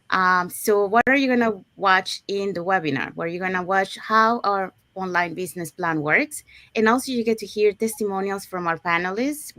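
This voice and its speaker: A woman's voice: medium pitch, fairly bright tone, no room sound. A woman from Panama hosting an online business livestream over a video call, upbeat and chatty with many fillers and restarts. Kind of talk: presenting a live webinar promo.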